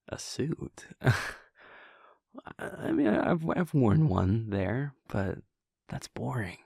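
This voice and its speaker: A man performs a wry, affectionate vampire prince in an intimate audio roleplay. His voice sits very low and he takes his time, speaking slowly and softly with casual hesitations. It is recorded close and dry, like a private chat with a partner. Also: no room sound, full character voice. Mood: playful, casual. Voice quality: low, creaky. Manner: hesitant, chuckling, conversational, soft-spoken. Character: playful male vampire prince, affectionate boyfriend, wryly reflective. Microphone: close, dry studio-style microphone.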